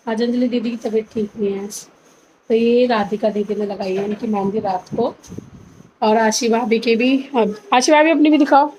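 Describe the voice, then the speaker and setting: A young woman with a slightly high voice and a sore throat talks casually to her vlog audience. She is chatty and friendly, walking viewers through things one at a time. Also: a little mellow, a little hiss or hum, rough recording. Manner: chatty, shows items one by one to the camera. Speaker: vlogger. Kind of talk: casual family vlog chat.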